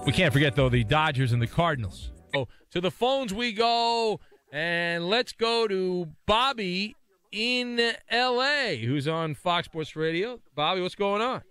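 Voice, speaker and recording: A man's voice: high-pitched, animated, a little slow, bright, forceful tone, clean tone, dry recording, soft background music. A man hosting a sports talk radio show, taking calls and laying out his take in a conversational way. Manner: conversational, explains patiently. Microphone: studio mic.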